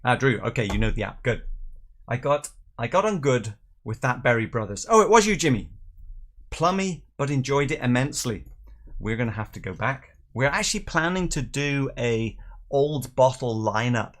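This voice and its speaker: A middle-aged man hosts a casual livestream. He talks in an easygoing, chatty way with a medium-pitched voice, moving between viewer comments and light jokes. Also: animated, normal speed, a bit bright. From Northern Ireland and England. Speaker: host of a live whisky tasting show.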